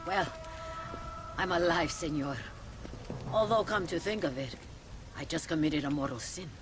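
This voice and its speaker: An actress playing a dry-witted older mother superior, speaking in a measured, sardonic way in a video game cutscene. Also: sits low, noisy background. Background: game score music.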